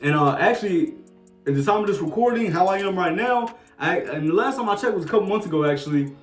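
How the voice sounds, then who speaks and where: A man's voice: high-pitched, lively intonation, fast talker, far from the mic. A man in his twenties from New Jersey with a Jersey accent, casually answering viewer questions in a laid-back, slangy way full of fillers. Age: 20s. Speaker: sneaker YouTuber. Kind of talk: casual Q&A vlog. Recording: echoey room, off-mic, faint music.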